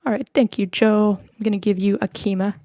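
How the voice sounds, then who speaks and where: Woman, online event host, casual, chatty, informal, medium pitch, dry recording. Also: fairly even intonation, brisk, mellow, no room sound. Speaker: host of online script table reads. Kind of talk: casual chat, organizing a cast.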